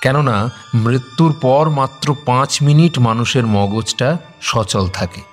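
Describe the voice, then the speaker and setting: A man's voice: mid-range voice, unhurried, soft background music, lightly acted. A man playing an eager, persuasive technician in an audio drama, explaining. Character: eager, persuasive male technician selling memory-storage robots.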